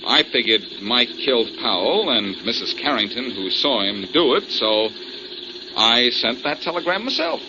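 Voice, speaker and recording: Cocky radio detective, lively delivery. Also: male voice, mid-range voice, moderate pace. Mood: confident explaining. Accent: American. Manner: breezy, teasing, explanatory. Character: cocky, wisecracking male amateur detective, smugly clever. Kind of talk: 1940s radio detective acting. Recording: noisy, muffled 1940s radio recording.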